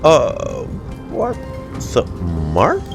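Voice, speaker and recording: A man's voice: fairly high pitch, extremely slow, gravelly. A man doing casual, slangy, profane live reaction commentary as a video game streamer. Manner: slangy, expressive. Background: game music.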